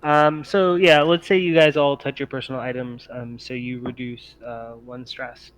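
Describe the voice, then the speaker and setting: A man working as game master, improvising tabletop game narration with halting, hesitant phrasing. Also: medium pitch, flat delivery, crowd noise. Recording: dull lo-fi audio, faint noise.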